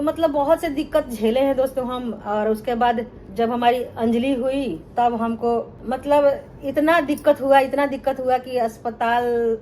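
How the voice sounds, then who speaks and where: A woman's voice: fairly high pitch, a little mellow, slight background noise, low-quality recording. A middle-aged woman from Bihar, telling her life story to viewers in a chatty vlog and often calling them friends. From Bihar, India. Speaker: cooking channel host.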